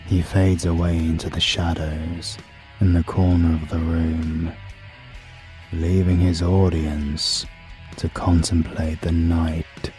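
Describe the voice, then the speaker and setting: A British man reading dark fiction as an audiobook narrator, in a scripted, dramatic style. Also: sits low, little pitch movement, slow, soft background music.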